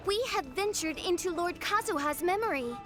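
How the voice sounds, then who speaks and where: A woman voicing a tiny, perky, curious fairy companion in a game, with a squeaky, childlike voice. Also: expressive, normal speed, music in the background. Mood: curious, puzzled. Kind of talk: scripted game cutscene dialogue.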